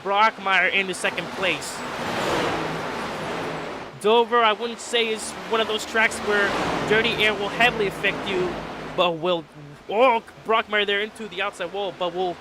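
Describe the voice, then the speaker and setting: Man, race commentator, stuffy nose, high-pitched, excitable, car noise under the voice. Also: moderate pace. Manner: excitable, rises in pitch at restarts and wrecks. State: stuffy, a little sick. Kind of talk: sim racing play-by-play commentary.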